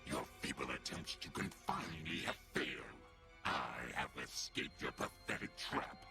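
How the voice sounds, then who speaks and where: A man's voice: moderate pace, close to an everyday voice. A man playing a proud, cruel, vengeful ghost in a video game, declaiming ominously like a villain. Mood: menacing. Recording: game cutscene under very loud music.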